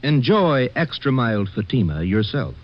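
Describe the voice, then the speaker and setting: A man's voice: mid-range voice, very animated, a little slow. A man reading a 1950s radio advertisement with an emphatic salesman's delivery. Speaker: commercial announcer. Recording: dull, hissy vintage radio recording.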